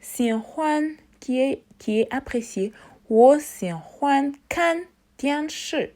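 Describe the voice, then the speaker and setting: A woman teaching a casual language lesson, repeating words slowly and explaining each in turn. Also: animated, mellow. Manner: drills tones in a high voice.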